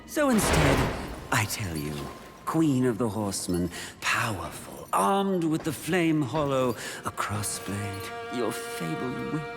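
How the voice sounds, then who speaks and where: Music plays behind a silky, androgynous demon for a video game. The voice is seductive and taunting, swooping widely in pitch. It lingers theatrically over words at a slow pace. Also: sing-song. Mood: taunting, coaxing. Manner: theatrical, drawn-out, coaxing.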